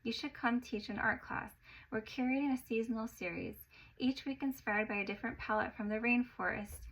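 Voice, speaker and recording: A woman's voice: a little high, brisk, a bit bright, poor audio. A woman voicing a confident female art studio owner who talks directly and persuasively.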